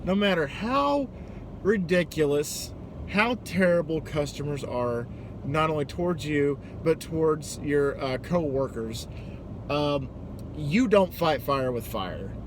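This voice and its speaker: A man's voice: fairly high pitch, expressive, takes it slowly. An American man casually telling a personal story, hesitant and sweary, still a bit worked up. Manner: hesitant, sweary, acting out dialogue. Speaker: storytelling vlogger and delivery driver. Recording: noisy, car-like ambient background noise.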